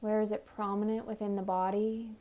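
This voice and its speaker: A woman leading a guided meditation in a soothing, gentle manner, offering unhurried invitations. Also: mid-range voice, flat delivery, highs cut off. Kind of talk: guided meditation, body scan narration.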